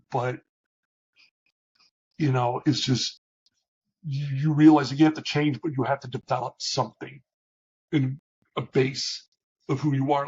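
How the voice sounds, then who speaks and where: A middle-aged man with a big, booming voice that comes through husky and a little dull. He talks candidly and haltingly as an interview guest, restarting his sentences often. His pitch sits at an ordinary level for a man. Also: a little mellow. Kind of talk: reflective conversational interview.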